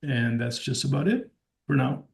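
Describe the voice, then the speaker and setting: Man from Portugal, native Portuguese, measured and courteous, echoey room, video call. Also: medium pitch, lively intonation. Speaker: board applicant. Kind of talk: self-introduction at a meeting.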